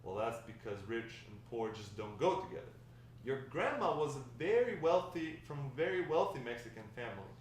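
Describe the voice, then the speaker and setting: Grandfather role, read by a man: fond, halting storyteller at a table read, slightly higher male voice, distant mic. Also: big pitch swings, natural voice. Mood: reminiscing. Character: grandfather fondly recounting his wild, lovestruck youth. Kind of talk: table read of a dramatic script. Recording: echoey room, distant mic, faint noise.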